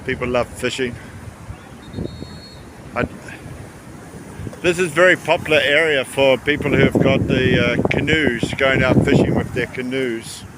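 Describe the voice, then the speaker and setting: A man giving a casual, rambling travel commentary outdoors by the sea, often pausing mid-sentence. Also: medium pitch, steady tone. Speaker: travel vlogger. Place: outdoors on a rocky seashore by tidal pools. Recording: windy outdoor recording with sea noise. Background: wind and waves.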